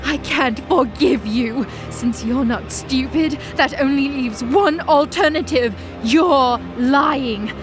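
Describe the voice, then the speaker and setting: A vengeful goddess, played by a woman, fairly high in pitch and set to clear background music. She is cold and accusing. Her threats come out deliberate and dramatic, as in an audio mythology drama. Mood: angry, accusing.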